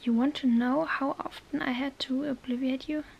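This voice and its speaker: A woman playing a bookish, exasperated young witch in an ASMR roleplay, halting and bossy, often whispering. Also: high-pitched, steady tone, gravelly, husky, muffled recording, exaggerated voice. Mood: anxious, coaxing. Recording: faint background noise.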